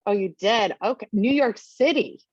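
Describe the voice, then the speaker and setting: A woman hosting a podcast who chats casually and reacts with loud exclamations. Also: mid-range voice, animated, normal speed, husky, dry recording, muffled recording. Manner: chatty and exclamatory. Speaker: podcast host. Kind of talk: casual interview.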